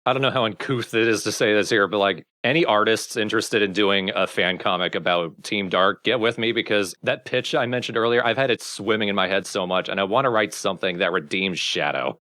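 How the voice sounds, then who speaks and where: A man's voice: lowish voice, a little fast, few pauses, bright, forceful tone. A man in casual conversation as a let's play game commentator.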